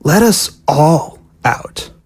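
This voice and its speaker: A scheming young zombie girl, acted by a woman, pleads her case in an audio drama. Her voice is husky and lively, rising and falling as she persuades. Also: low-pitched, drawn-out words, exaggerated voice. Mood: scheming, urgent.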